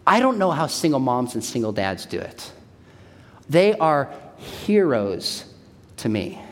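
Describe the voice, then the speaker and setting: A man's voice: medium pitch, sing-song, unhurried. A man in his forties giving an emphatic church talk, repeating his key points. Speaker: speaker at a church. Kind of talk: sermon-style talk. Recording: long hall reverb.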